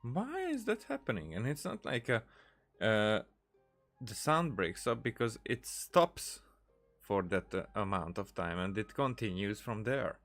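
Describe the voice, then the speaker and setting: Low gravelly dude talking over his game, stops and restarts a lot. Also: sing-song, fairly bright tone, faint music behind. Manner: halting, thinks aloud with many restarts. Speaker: streamer. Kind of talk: let's play commentary.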